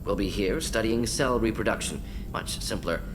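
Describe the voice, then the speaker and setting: An actor playing a brisk, clinical alien scientist doctor in a video game, speaking in clipped telegraphic phrases with deadpan slyness. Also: male voice, lowish voice, background noise, lightly acted. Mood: slyly teasing.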